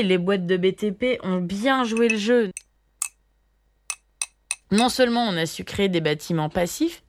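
Female estate agent, medium pitch, scripted testimonial delivery, faintly noisy recording.

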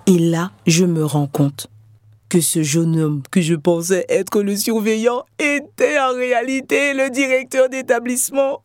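A middle-aged woman, a researcher, giving a measured, scripted podcast narration. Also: fairly high pitch, a little mellow, no room sound, clean studio sound. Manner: measured, storytelling delivery. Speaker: researcher and lecturer.

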